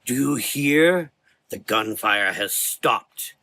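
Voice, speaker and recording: A man playing a menacing, supernatural dark hunter in a gothic horror audio drama, speaking slowly and deliberately with cold, sneering contempt and quiet rage. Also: mid-range voice, expressive, no room sound. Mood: hidden rage. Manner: slow, deliberate, coldly contemptuous.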